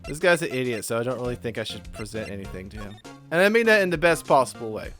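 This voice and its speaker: A man hosting a humorous gaming playthrough with a friend, joking around in an animated way. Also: mid-range voice, fast talker, faint music behind. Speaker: gaming video host.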